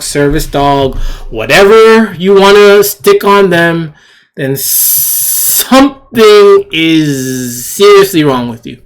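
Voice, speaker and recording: An indignant commentator delivering an opinionated rant at a slow, deliberate pace. The pitch swings widely for emphasis, with phrases repeated and rhetorical questions thrown out one after another. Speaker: video commentator. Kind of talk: opinionated rant commentary on shared screen clips.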